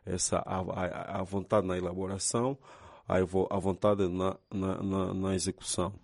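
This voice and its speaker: A man speaks as an official in a hesitant way, stumbling over words. His voice is very deep and gravelly with a dark, flat tone. The sound is dry. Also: normal speed, no room sound. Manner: hesitant, many restarts. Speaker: youth council official. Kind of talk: interview soundbite.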